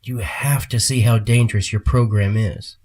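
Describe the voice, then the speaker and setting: A man's voice: on the low side, fairly even intonation, normal speed, a little mellow, lightly acted. A man playing a stern, commanding boss in an audio drama. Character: stern male boss ordering a scientist around.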